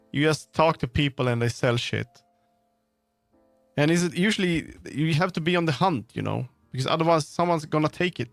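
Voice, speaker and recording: A man livestreaming, chatting casually with viewers in a rambling, halting way as he muses aloud. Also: on the high side, a bit bright, no room sound. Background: background music.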